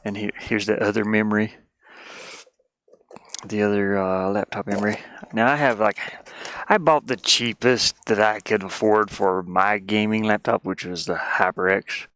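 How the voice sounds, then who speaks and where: A man's voice: on the low side, steady tone, measured pace, fairly bright tone, dry recording. A man streaming casually, rambling and thinking aloud as he goes. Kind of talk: casual live stream chat. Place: inside a van he lives in.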